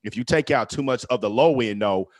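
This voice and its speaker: A deep-voiced man from Indianapolis, a guest reviewer giving laid-back, frank feedback on a track with some swearing. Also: a little fast, dry recording.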